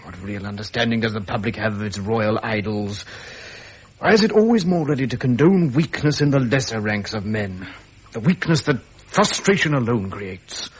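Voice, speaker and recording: An actor playing a loyal gentleman courtier, earnest and formal, with an upper-class English accent. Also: lowish voice, animated, moderate pace, slightly rough, low-quality recording. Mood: stiffly reproving. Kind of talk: 1970s British period drama acting.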